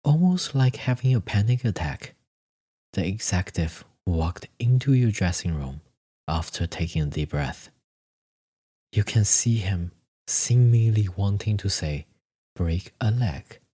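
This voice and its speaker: A man narrating a scripted second-person story in measured, deliberate phrases. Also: on the low side, lively intonation, dark tone.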